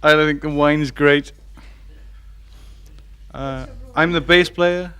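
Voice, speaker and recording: A man, a band's bass player, giving brief, amused answers in a casual interview, chuckling between lines. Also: fairly even intonation, takes it slowly, bright, forceful tone, smooth. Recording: distant mic.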